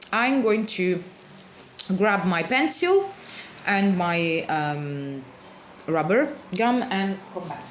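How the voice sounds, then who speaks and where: A woman's voice: mid-range voice, a little hiss or hum. A woman with a non-native English accent giving a casual art tutorial, chatty and apologetic, often searching for words. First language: not English. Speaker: card-altering artist.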